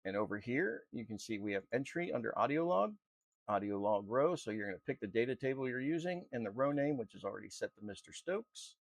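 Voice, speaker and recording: A man presenting an unscripted software tutorial in an informal, halting, step-by-step way. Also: medium pitch, expressive, gravelly, dry recording. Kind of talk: unscripted screen-recorded walkthrough.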